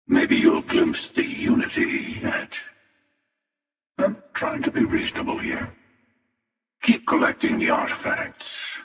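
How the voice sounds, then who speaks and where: Gravelly, echoing, menacing older hunter. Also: male voice, medium pitch, a bit bright, exaggerated voice. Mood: sardonic, coolly amused. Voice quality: gravelly, breathy rasp. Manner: sardonic, measured, taunting. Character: cynical, menacing older male starborn hunter, smugly amused. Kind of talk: video game character dialogue. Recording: echoing long reverb, distant sound.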